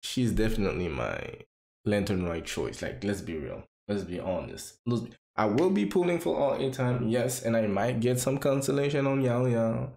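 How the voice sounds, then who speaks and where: A man in his thirties giving casual, expressive reaction commentary, repeating phrases for emphasis. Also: mid-range voice, moderate pace, gravelly, a little hiss or hum. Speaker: reaction video creator. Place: small indoor home room. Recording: roomy sound with music behind. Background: game trailer music.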